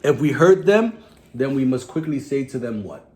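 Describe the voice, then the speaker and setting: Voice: mid-range voice, big pitch swings, normal speed, darkish tone. A religious teacher giving children a lesson in simple phrases, asking questions and prompting them to repeat. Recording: clear background noise.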